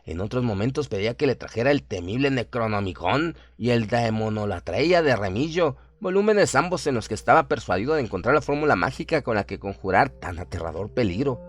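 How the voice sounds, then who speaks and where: A man's voice: mid-range voice, lively intonation, brisk, hardly any pauses. Male horror narrator over music. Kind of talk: dramatic scripted horror story narration. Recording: prominent music under the voice.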